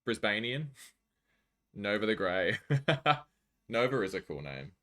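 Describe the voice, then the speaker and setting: A man's voice: on the low side, very animated, slow pace, bright, forceful tone. An Australian man live streaming, chatting casually and jokily with viewers as he reads out their messages.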